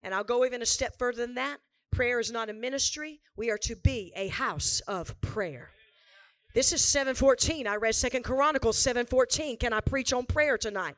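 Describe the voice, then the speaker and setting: A hoarse woman pastor preaching a revival sermon in a church, repeating lines for emphasis and building to shouts. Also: on the high side, normal speed, no echo, low-quality recording. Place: church sanctuary before a live congregation.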